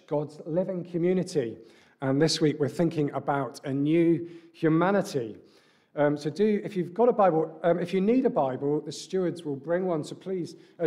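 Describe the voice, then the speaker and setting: Man, 30s, preacher; slightly croaky, fairly high voice, conversational sermon delivery at a church lectern mic. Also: mellow. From England. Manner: conversational, with filler ums and rhetorical questions. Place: large church hall with brick wall, indoors. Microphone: stand mic at a lectern.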